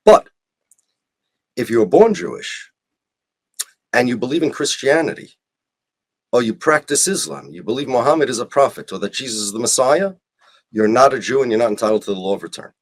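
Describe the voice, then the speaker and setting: A man with a husky, mid-pitched voice and highly varied intonation. He talks as an interview guest making an impassioned case, stressing points through repetition and rhetorical questions. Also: animated, fairly quick, long pauses. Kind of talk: impassioned argumentative interview talk.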